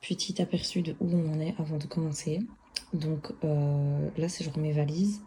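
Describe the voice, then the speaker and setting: A woman's voice: low voice, steady tone, normal speed, dark tone, slight background noise. A young woman in her early twenties with a Belgian French accent, vlogging casually and mixing in English words and slang. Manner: chatty, sprinkles in English words and youthful slang. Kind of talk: casual vlog with scripted voice-over narration.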